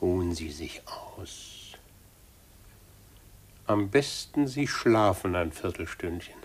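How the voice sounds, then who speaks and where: A man's voice: sits low, animated, slow pace, breathy edge, full character voice. An actor playing a scheming, falsely fatherly middle-aged businessman in a 1950s German radio drama, unctuous and patronising. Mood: oily, soothing. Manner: unctuous, patronising, with smug chuckles.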